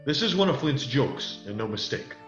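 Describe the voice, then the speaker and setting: A man's voice: medium pitch, sing-song, moderate pace. Man playing a wily pirate leader in echoey, muffled audio. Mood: calculating. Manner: rustic old-sailor dialect. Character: wily male pirate leader, persuasive and scheming. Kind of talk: radio play acting. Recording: muffled, echoey audio under loud music.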